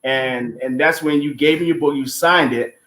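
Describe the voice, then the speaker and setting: Guy from florida chatting as a guest, folksy and animated, highish voice, echoey room. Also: normal speed. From Florida, United States. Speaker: guest, playwright. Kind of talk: casual interview storytelling. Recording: roomy echo.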